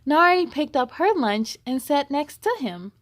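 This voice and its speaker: Lady doing kids read aloud, high voice, real slow, expressive and encouraging. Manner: expressive, encouraging, speaking to young listeners. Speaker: host reading a picture book aloud. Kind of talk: children's read-aloud storytelling.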